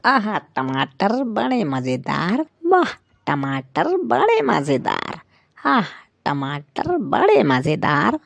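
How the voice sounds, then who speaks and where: A gleeful cartoon animal played by a woman with a gravelly voice that swings wildly up and down. Each phrase is drawn out with relish, over faint music. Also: lowish voice, huge pitch swings, slow pace, dry recording, exaggerated voice. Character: animal chanting gleefully about tasty tomatoes. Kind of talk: sing-song cartoon acting.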